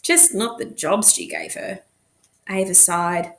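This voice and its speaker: A woman's voice: medium pitch, big pitch swings, slow, a bit bright. A woman narrating a novel expressively, as a teacher reading aloud to students.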